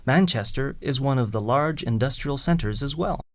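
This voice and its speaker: A man's voice: medium pitch, moderate pace, dry recording. Man reading a textbook script, dry sound. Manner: even, clearly enunciated.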